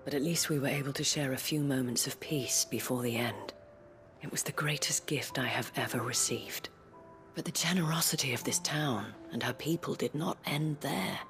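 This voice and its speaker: An actress playing a gracious, worldly former courtesan in a video game cutscene, speaking softly and eloquently in a measured, genteel way. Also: female voice, extremely low pitch. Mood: grateful, resolute. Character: gracious worldly former courtesan, madame leading a fantasy town. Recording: music under the voice.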